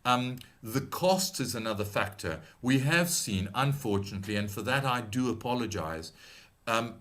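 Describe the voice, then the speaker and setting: A middle-aged British man with a slight South African tinge to his accent, presenting a webinar in a measured, advisory way with frequent ums and restarts. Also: mid-range voice, fairly bright tone. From Britain. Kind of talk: explanatory webinar talk.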